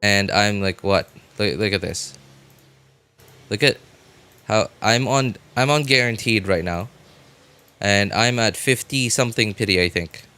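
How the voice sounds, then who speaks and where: Male game streamer, lower-pitched, casual and informal, thinks out loud to chat over music. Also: unhurried, pauses often.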